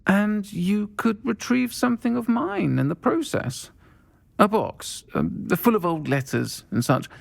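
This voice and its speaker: A performer playing a kindly, composed woman who runs a pub in a video game, speaking in a measured, caring way. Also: mid-range voice, expressive, gravelly, heavily acted. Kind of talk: video game dialogue acting.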